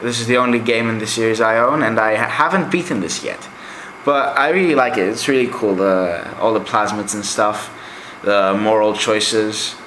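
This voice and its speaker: A young man whose first language is Greek talks through his games in a loose, chatty way, full of "uh" and "like". His voice is bright and forward. The room is echoey. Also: lowish voice, normal speed, slight background noise. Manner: rambling and chatty, with many fillers. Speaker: game collector vlogger. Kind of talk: casual game collection review.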